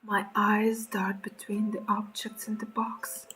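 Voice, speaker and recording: A woman streamer reading horror game narration aloud in a dramatic, breathy storytelling way, with short reactions of her own. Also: mid-range voice, monotone, slow pace, fairly bright tone, low-quality recording. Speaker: streamer playing a horror visual novel. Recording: background music under the voice.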